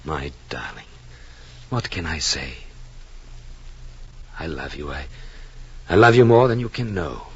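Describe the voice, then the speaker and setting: An actor playing an earnest, brooding European husband and expectant father who narrates his journal in solemn, literary, measured 1940s radio drama style. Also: extremely low pitch, big pitch swings, muffled recording, slight background noise, exaggerated voice. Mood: tender. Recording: old 1940s radio, music behind.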